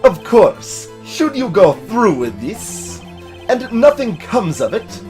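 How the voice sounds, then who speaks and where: A menacing, smug older male prosecutor from a courtroom game, put on by a man. He drawls with theatrical, mocking pomp, stretching words slowly and sweeping in pitch. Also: sing-song, a little mellow, faint music behind, heavily acted. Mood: smug threat.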